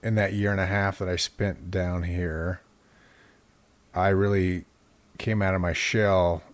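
A man's voice: deep voice, flat delivery, slow. A middle-aged man from Utah with an American accent, telling personal stories casually as a podcast interviewee. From Utah, United States. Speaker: podcast host being interviewed as guest.

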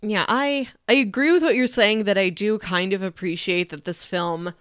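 A woman's voice: medium pitch, normal speed, a bit bright, clean tone, no echo, studio quality. A woman talking casually and analytically as a podcast co-host discussing a film.

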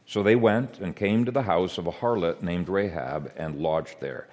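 A man who grew up in Ethiopia, giving an earnest, measured sermon with frequent hesitations. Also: sits low, a little mellow. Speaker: preacher.

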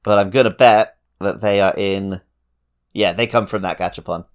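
Male co-host, slightly low pitch, casual conversational gaming podcast talk. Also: steady tone, normal speed, smooth. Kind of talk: casual video game discussion.

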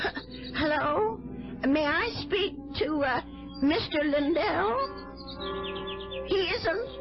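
A woman's voice: high voice, heavily acted. An actress playing a fretful, timid older landlady in an old radio drama, fluttery and hesitant, often muttering to herself. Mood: nervous, determined. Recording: muffled vintage radio broadcast with music.

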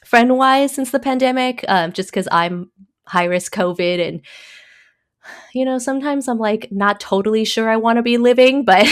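A woman from Taiwan, a guest in a casual conversation, talking thoughtfully with many pauses, fillers and small laughs. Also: a little high, no room sound, studio quality.

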